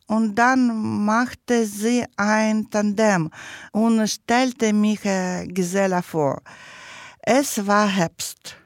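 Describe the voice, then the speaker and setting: A woman speaks in a very slow, careful way, stopping often to find the next word. Her voice sits at an ordinary middle pitch and sounds close and dry, with no room around it. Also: fairly even intonation, no echo. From Ukraine. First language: not German. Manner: hesitant, searching for words, many pauses. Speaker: guest, project participant. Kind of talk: interview, halting learner's German.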